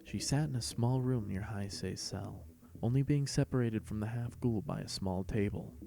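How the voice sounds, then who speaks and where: Male narrator for scripted fan fiction. Dark, mellow tone with fry. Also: on the low side, moderate pace.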